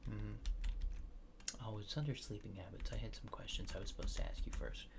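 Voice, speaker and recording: A man speaks softly, sometimes whispering, in an ASMR roleplay as a casual alien abductor running a survey. His voice has a creaky, gravelly fry. He asks in a hesitant, deadpan way, over clear background noise and keyboard typing. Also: fairly low pitch, a little fast. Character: laid-back alien abductor giving a survey. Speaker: ASMR creator. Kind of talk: soft-spoken ASMR roleplay interview, partly whispered.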